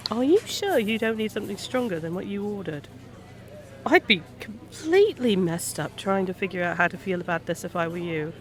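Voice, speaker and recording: A woman acting in an intimate audio roleplay as a kind, gently teasing private eye, talking softly-concerned and conversational to a stranger. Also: mid-range voice, very animated, normal speed, lightly acted. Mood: shocked, sympathetic. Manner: soft-hearted, hesitant, questioning, playful asides.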